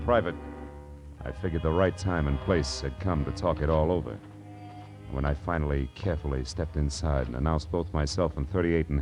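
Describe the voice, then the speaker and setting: An actor playing a hardboiled, wisecracking private detective, dry and commanding, in 1940s radio crime drama style. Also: male voice, low voice, a little mellow, natural voice. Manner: dry, sardonic, wordy, commanding.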